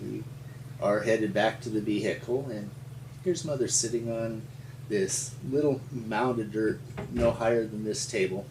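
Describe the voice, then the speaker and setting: An older man from rural Montana with an American accent, telling his story in an interview in a halting, folksy, explanatory way. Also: on the low side. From rural Montana, United States. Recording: roomy, distant mic with faint hum.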